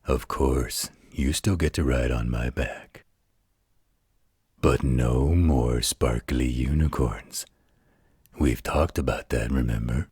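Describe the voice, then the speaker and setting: A man voicing a gentle, patient werewolf in a slow, soothing bedtime roleplay, with a husky growl. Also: dry recording, studio quality, heavily acted. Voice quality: very deep gravelly husky growl. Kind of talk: intimate bedtime roleplay audio.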